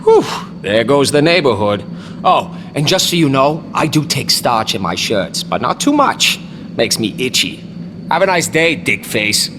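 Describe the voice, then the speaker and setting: An actor playing a cocky, foul-mouthed warrior for hire in a video game, sarcastic and flippant in manner. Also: male voice, mid-range voice, big pitch swings, a little slow, background noise. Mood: mocking. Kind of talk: video game action-comedy acting.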